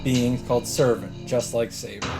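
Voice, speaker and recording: A man's voice: medium pitch, fairly even intonation, normal speed, gravelly, music in the background. A man reading visual novel narration aloud as a let's play host, with casual asides.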